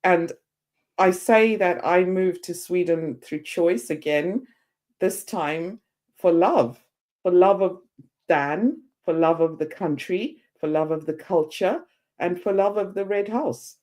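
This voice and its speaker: A woman in her fifties from Zimbabwe, a guest on a podcast interview, telling her story with lively quoted voices. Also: on the low side, slow pace. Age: 50s.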